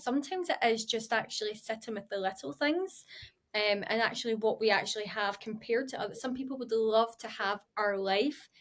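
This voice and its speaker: A woman hosting an interview podcast, talking in a supportive, affirming way. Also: fairly high pitch. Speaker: podcast host. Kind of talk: casual interview chat.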